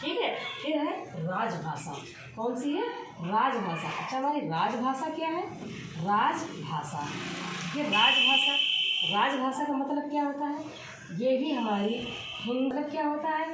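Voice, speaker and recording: A woman's voice: high voice, a little slow. A woman teaching a grammar lesson, asking questions and answering them herself, repeating the key points. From India. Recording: echoey room, far from the mic, steady noise.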